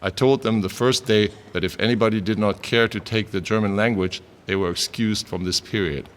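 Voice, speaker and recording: An actor playing a plain-spoken male schoolteacher answering questions at his trial, steady and dutiful. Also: lowish voice, fairly quick, in a large hall, natural voice. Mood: respectful.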